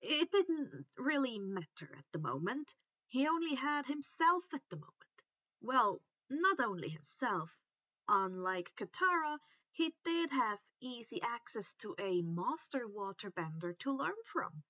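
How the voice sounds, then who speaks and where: Bright, very animated woman reading a story. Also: fairly high pitch, measured pace, no room sound, muffled recording. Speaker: fan fiction narrator. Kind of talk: animated storybook-style read-aloud narration.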